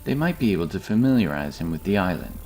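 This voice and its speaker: A man narrating a scripted fantasy story in a measured, dramatic storytelling manner. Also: low-pitched, very animated, darkish tone, breathy edge, faint music behind.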